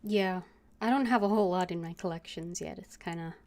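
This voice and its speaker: A woman's voice: lowish voice, a little fast, darkish tone. Chatty young woman streamer. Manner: chatty, hesitant with fillers. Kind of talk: casual gameplay commentary.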